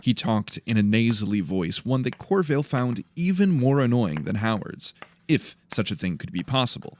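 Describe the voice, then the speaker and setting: A man's voice: mid-range voice, big pitch swings, moderate pace, a little mellow, a little hiss or hum. A man narrating dramatic fiction from a script as an audiobook storyteller. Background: faint music.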